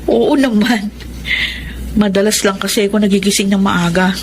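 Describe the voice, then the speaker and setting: A woman's voice: mid-range voice, fairly even intonation, moderate pace, slight background noise, lightly acted. A woman playing a self-sacrificing eldest sister in a Filipino radio drama, speaking in an earnest, motherly, conversational way with a Filipino accent. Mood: earnest, resigned. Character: Minerva, self-sacrificing eldest sister raising two siblings. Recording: music bed under the dialogue.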